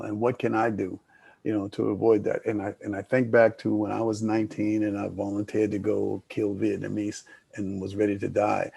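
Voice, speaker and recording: A man's voice: on the low side, creaky, mellow, slightly rough, no echo. An older man moderating a panel discussion, talking in a rambling way with many restarts.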